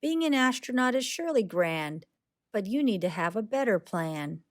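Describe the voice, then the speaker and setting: A woman's voice: mid-range voice, big pitch swings, moderate pace, natural voice. A woman voicing a disapproving, dismissive grown-up teacher in a children's storybook, giving patronizing advice. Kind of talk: storybook character voice.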